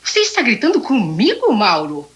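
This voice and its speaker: Radio drama imperious wife: commanding, contemptuous, husky edge, big swings in pitch. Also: female voice, huge pitch swings, fairly quick. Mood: scornful, threatening. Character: imperious, scornful wife bullying her husband. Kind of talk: old radio drama acting.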